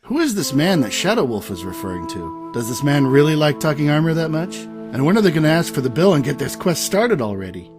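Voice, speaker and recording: A man's voice: fairly high pitch, very animated, fairly quick, hardly any pauses. Male drama narrator, scripted announcer read, varied intonation, music bed underneath. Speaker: narrator and voice actor. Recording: music behind the voice.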